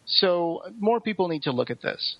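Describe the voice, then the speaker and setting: Higher-voiced man, dull lo-fi recording. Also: animated, normal speed. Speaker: podcast co-host. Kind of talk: casual podcast chat.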